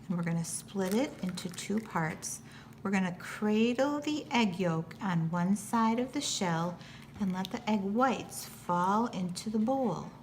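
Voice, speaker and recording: An American woman giving patient, step-by-step cooking instruction in simple, plain terms. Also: mid-range voice. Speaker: teacher giving a cooking demonstration. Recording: noticeable background noise.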